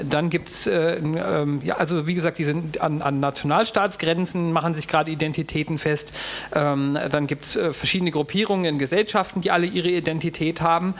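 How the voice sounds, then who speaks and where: A man speaking on a panel discussion, making a deliberate, argumentative statement with frequent fillers. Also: on the high side.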